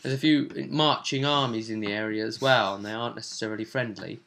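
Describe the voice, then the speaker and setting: A man's voice: fairly low pitch, quick pace, gravelly, a bit bright, slight background noise. A man hosting as game master, casually recapping a tabletop role-play session in a rambling, descriptive way.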